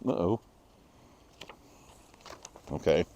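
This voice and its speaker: A man reading a rhyming children's picture book aloud in an expressive storytelling manner, with short casual asides. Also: very slow, no echo. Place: outdoors at a zoo.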